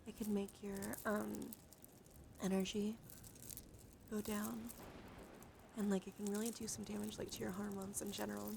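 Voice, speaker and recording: A woman streaming ASMR roleplay as a soothing bedtime monologue, speaking gently and reassuringly in slow, short phrases that often drop into whispers. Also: mid-range voice, flat delivery, creaky, noisy background. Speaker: live streamer, ASMR host.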